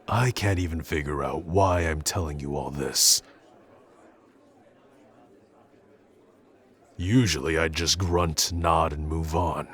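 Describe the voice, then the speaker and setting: A man playing a gruff, awkward young tsundere prince who speaks haltingly and grudgingly in an intimate roleplay audio addressed to the listener. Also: extremely low pitch, steady tone. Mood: awkwardly confessing. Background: background music.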